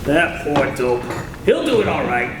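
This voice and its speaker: A man's voice: on the high side, very animated, a little slow, very noisy. An actor playing a condemned male killer in a radio drama, talking tough gangster slang, tense and scheming. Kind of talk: 1930s radio drama acting. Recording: echoey old radio recording from far off.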